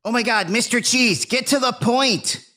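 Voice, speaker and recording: A man acts out an impatient cartoon crewmate, the skeptical straight man. His voice is high and tense, blunt and exasperated, over faint music. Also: moderate pace, pushed and bright. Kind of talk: cartoon voice acting.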